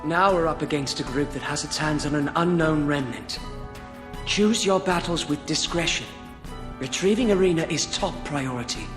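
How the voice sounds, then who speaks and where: A man's voice: a little high, normal speed, lightly acted. A man acting a resolute, authoritative young lord in a video game, giving formal, decisive orders. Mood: firm, commanding. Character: resolute young male lord commanding his retainers. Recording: long hall reverb under music.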